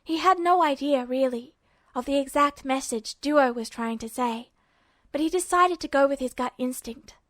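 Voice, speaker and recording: A woman's voice: sits high, moderate pace, fairly bright tone, no room sound. A woman reading scripted fiction aloud as a story narrator.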